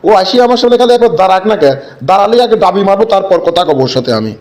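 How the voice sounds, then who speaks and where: Man as a high-pitched hot-tempered villager. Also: big pitch swings, very fast, a little mellow. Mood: indignant, threatening. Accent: rural Bangladeshi Bengali. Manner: blustering, indignant. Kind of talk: comic cartoon dialogue.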